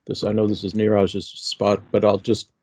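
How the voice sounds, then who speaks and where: Canadian man, low mellow voice. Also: level tone, moderate pace, breathy edge. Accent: Canadian English. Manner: conversational, repeats "okay?" often. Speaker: panelist. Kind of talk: online panel discussion.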